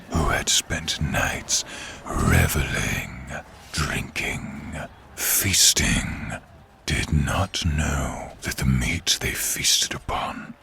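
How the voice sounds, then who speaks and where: A man playing a menacing, bitter dark sorcerer king risen from peasantry, with a gravelly half-whispered rasp and grandiose, taunting delivery in a fantasy audio drama. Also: rumbling low, heavily acted. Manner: slow, theatrical, taunting delivery. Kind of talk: fantasy audio roleplay acting.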